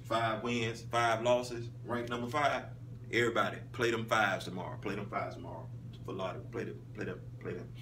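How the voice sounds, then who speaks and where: A man in his thirties hosting a casual flag football league recap, talking emphatically and swinging between loud call-outs and low asides. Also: fairly even intonation, brisk. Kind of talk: casual sports recap monologue. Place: small indoor room, in front of a wall poster. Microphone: phone camera at arm's length. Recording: phone audio, a bit distant, faint hum.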